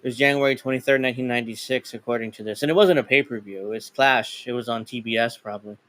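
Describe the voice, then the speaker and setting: A man's voice: mid-range voice, fairly even intonation, fast talker, fairly bright tone. A man co-hosting a casual wrestling podcast, laid-back, swearing freely and laughing as he retells old stories. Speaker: podcast co-host.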